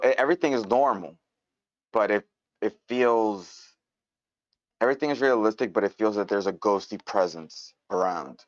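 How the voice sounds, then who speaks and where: A man with a low voice offers an informal critique in an online meeting. He speaks hesitantly, circling back and repeating as he searches for words. The sound is dry and somewhat muffled. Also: dry recording. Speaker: participant giving script feedback. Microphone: online meeting mic.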